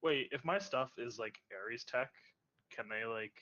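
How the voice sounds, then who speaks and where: A man with a faint Canadian accent chats as a player in a tabletop game, quick to argue back. His voice is bright and forceful. The recording sounds dull and lo-fi, like a cheap voice-chat mic. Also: mid-range voice. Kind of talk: casual chat while playing a tabletop game.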